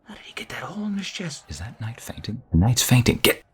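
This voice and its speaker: A man voicing an excitable, gruff soldier in a fantasy audio role-play, over background noise. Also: mid-range voice, fast, heavily acted. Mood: alarmed.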